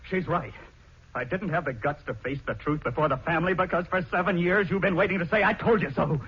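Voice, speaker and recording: An actor playing a blunt, emphatic middle-aged husband in a 1940s radio drama. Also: male voice, on the high side, a little fast, husky. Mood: heated. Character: plain-spoken middle-aged husband, forceful, protective of his wife. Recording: dull 1940s radio recording.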